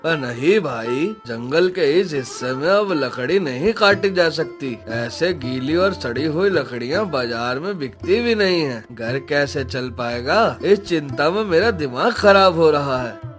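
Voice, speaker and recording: Fairly high male voice, poor woodcutter acted by a man, worried, lamenting, cartoon story, music behind. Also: lively intonation, measured pace. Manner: lamenting, earnest. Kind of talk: acted Hindi moral story cartoon dialogue.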